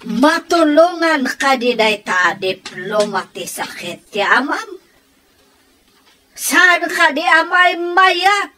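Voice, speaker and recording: A woman's voice: sits high, big pitch swings, slow, sharp tone, full character voice. A woman acting in an Ilocano radio drama as a stern mother, reproachful and emphatic as she urges her child toward duty.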